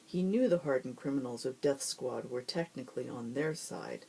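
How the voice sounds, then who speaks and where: A host reading fiction aloud and introducing it informally, with expressive storyteller delivery. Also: medium pitch, normal speed, slight background noise, low-quality recording.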